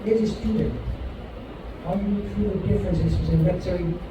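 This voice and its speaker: A man's voice: sits high, a little fast, distant mic, background noise. A man moderating a classroom talk, explaining in a plain way. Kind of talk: classroom discussion. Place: university classroom. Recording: echoey room from afar, dull sound.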